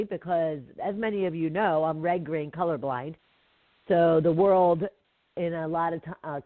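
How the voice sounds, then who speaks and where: Congested woman host, chatty, over a tinny line. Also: no room sound. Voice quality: deeper than usual voice, occasional hack. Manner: chatty, rambling, many fillers. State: congested with allergies, coughing. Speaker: radio show host. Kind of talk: casual live talk radio. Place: home in Atlanta.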